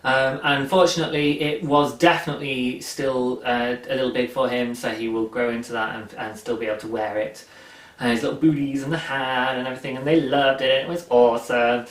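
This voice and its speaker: A man's voice: mid-range voice, steady tone, fairly quick, far from the mic. A young man hosting a knitting video podcast from his sofa, chatting in a rambling, self-amused way with plenty of ums. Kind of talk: casual chat. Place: living room, seated on a sofa. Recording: echoey room, voice slightly off-mic.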